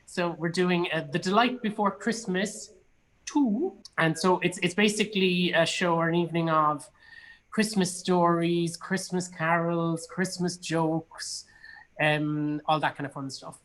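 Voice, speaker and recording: A man's voice: fairly high pitch, steady tone, measured pace, a bit bright, poor audio. A man with an Irish accent chatting casually and enthusiastically as a guest in an online conversation, speaking from a studio. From Cork, Ireland. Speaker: guest, actor and theatre producer. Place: indoors in a studio.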